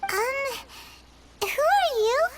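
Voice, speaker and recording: A woman voicing a small, chatty fairy companion in a game, with an excitable, squeaky delivery. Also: very slow, heavy background noise, heavily acted. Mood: curious. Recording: game audio with loud music.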